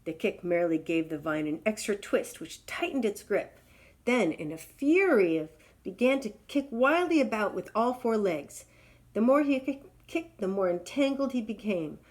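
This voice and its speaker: A woman's voice: medium pitch, animated, moderate pace, a little mellow. Woman reading a children's story expressively.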